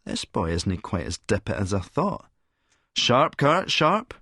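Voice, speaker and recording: A man's voice: lowish voice, big pitch swings, slow, creaky, sharp tone, no echo, studio quality, heavily acted. A man playing a cynical middle-aged Scottish schemer who narrates in a verbose, sardonic, lecturing manner. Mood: scornful, sardonic. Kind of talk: first-person audiobook narration with dialogue.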